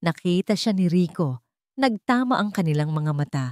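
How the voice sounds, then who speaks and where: Woman narrator for a romance drama story, bit low pitched, totally dry close recording. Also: expressive, normal speed, a little mellow, dry recording.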